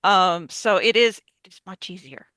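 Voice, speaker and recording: A woman giving measured, tactful feedback in a casual group discussion. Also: medium pitch, bright, forceful tone, no room sound. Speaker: radio play narrator and panelist.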